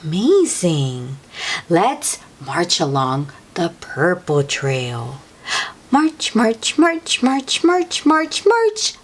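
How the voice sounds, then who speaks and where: A woman hosts a read-aloud for small children. Her delivery is very slow, with a wide, lively swing in pitch. She speaks in a sing-song, encouraging way, as if talking straight to young listeners. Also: breathy edge.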